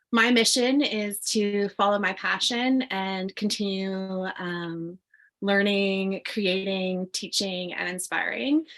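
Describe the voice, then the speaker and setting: Woman, middle-aged, bright clear voice, friendly, some ums. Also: mid-range voice. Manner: friendly, with fillers. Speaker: mosaic artist guest. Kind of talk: self-introduction in a panel talk.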